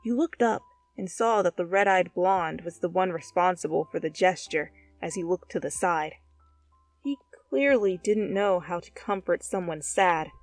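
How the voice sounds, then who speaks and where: A woman reading fan fiction aloud as an expressive second-person story narration. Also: fairly low pitch, moderate pace, dry recording, faint music behind.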